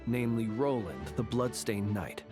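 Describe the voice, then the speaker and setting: An actor playing a calm, precise scholar in a video game, explaining in formal terms. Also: male voice, medium pitch, moderate pace, lightly acted. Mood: calm, clinical. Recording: music behind.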